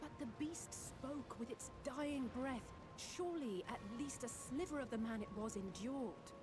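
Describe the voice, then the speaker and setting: A woman's voice: high-pitched, measured pace. An actress playing a concerned, hopeful young female adventurer in a video game, earnest and formal. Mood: troubled. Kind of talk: dramatic video game voice acting. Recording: music behind the voice.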